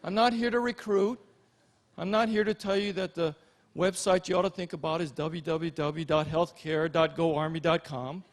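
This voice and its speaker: A middle-aged man from Hawaii giving an informal keynote as an Army general and physician, telling personal stories in a folksy, halting way. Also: fairly high pitch, steady tone.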